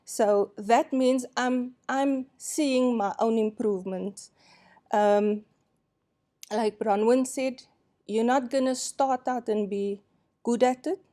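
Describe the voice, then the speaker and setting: Slow-spoken woman lecturer. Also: on the high side, fairly even intonation, mellow. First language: Afrikaans. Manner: unhurried, candid, self-deprecating, laughs often. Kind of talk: presentation to colleagues.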